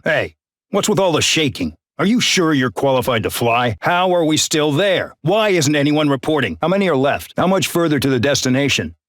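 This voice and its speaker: A man's voice: on the high side, dry recording, studio quality. A man voicing an arrogant, bullying male air base colonel in a game, barking brusque, contemptuous orders. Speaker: military commander. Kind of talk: game voice acting.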